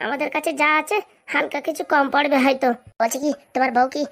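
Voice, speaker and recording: Woman acting brash scheming man, comic cartoon, high voice, very rapid, blunt. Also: fairly even intonation, fairly bright tone. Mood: confident, bossy. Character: brash, scheming, hospitable man planning a second wedding.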